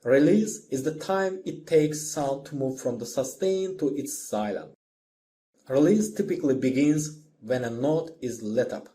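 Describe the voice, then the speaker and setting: A man's voice: mid-range voice, unhurried, dark tone. A man narrating a scripted lesson as a music instructor, explaining step by step in simple phrases.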